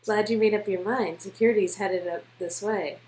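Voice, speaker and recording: A woman's voice: mid-range voice, steady tone, moderate pace, darkish tone, lightly acted, low-quality recording. A woman playing a playful, adventurous young woman on a date, in performed game dialogue. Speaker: voice actor reading a visual novel love interest.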